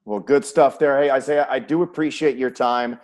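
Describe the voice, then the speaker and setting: A middle-aged man with a mid-pitched voice hosts a sports chat. His delivery is conversational, and he reworks his questions while he speaks. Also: steady tone, normal speed, darkish tone. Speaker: interview host, sports play-by-play announcer. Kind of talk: conversational interview hosting.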